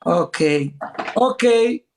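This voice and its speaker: Gravelly man, halting English. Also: very high-pitched, slight background noise. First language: Somali. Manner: halting, broken English, eager and flattering. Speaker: live-stream guest. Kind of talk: casual video-call chat.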